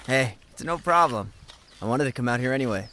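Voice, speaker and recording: A man's voice: mid-range voice, lively intonation, fairly quick, bright, forceful tone, slight background noise. A man playing an earnest, devoted young student in an audio drama. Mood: sincere, easygoing. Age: young adult.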